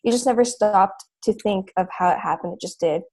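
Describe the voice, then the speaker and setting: A teenage girl whose first language is Chinese talks through her essay with a tutor. Her voice is mellow and soft-toned at a middle pitch, and she speaks fluently and reflectively. She comes through a video call. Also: brisk. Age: teens. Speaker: student.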